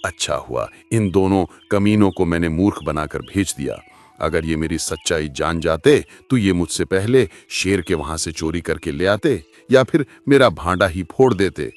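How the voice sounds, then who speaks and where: A man's voice: low voice, expressive, moderate pace. A man voicing a sly, lazy thieving animal who poses as a holy guru in a cartoon, with a pompous, self-satisfied delivery. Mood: scheming. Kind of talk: Hindi cartoon story acting.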